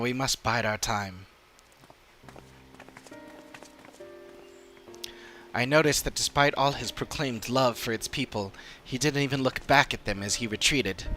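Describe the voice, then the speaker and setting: A man's voice: mid-range voice, sharp tone, natural voice. Man voicing a thoughtful fantasy game companion over music. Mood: grave, resolute. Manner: measured, formal, thoughtful. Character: earnest, analytical adventuring companion in a fantasy game. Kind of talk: dramatic reading of game dialogue.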